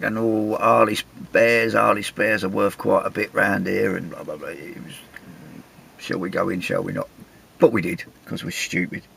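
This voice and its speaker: Gravelly English man spinning a yarn. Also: on the low side, a bit bright. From England. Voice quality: gravelly, husky. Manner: chatty, wry, self-mocking. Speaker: vlogger telling a travel story. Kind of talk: casual anecdotal storytelling.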